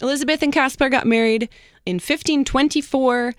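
A woman with a fairly high voice tells a history story to her co-hosts. She is informal and chatty, dropping in slangy asides. The sound is very dry, with no room on it. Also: a little fast, no room sound. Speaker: host telling a history story.

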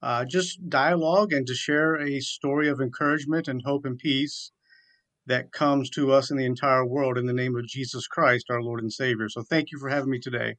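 A man's voice: medium pitch, fairly even intonation, moderate pace, a bit bright. A man in his forties from southeastern Virginia, a chaplain, telling his story conversationally and earnestly as an interview guest. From southeastern Virginia, USA. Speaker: military chaplain, radio guest.

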